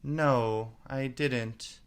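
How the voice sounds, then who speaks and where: A man's voice: mid-range voice, fairly even intonation, extremely slow, clear, resonant tone, voice pushed up. A man voicing a bold but polite young girl in a storybook, speaking in a plain, decided way.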